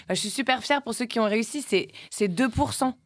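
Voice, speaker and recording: A woman's voice: fairly high pitch, fast, dry recording. Woman, late 30s, actress-producer type, loose rambling interview talk, dry sound. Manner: rambling, many restarts and fillers.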